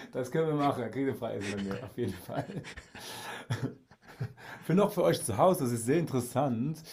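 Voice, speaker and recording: A man's voice: medium pitch, normal speed, pauses often, darkish tone, slight background noise. A man guest in a casual podcast chat, enthusiastic, laughing often and saying "auf jeden Fall" again and again.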